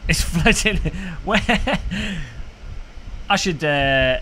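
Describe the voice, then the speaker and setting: Streamer guy rambling to chat between races, bright voice, a bit high. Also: lively intonation, very slow, a little hiss or hum. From United Kingdom. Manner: rambling, joking, frequent restarts. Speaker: sim racing streamer. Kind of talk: casual live stream chat, reading chat messages.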